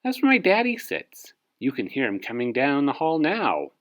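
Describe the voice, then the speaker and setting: A man's voice: medium pitch, wildly swooping pitch, normal speed, fairly bright tone, pitched above the performer's own voice. A man voicing a friendly, easygoing young boy in a storybook read-aloud. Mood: cheerful.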